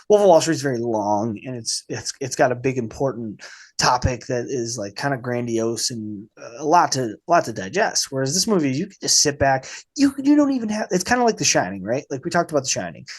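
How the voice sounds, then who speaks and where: A man hosting a casual film podcast, speaking informally and haltingly with a slight cold in his voice. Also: medium pitch. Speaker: podcast host.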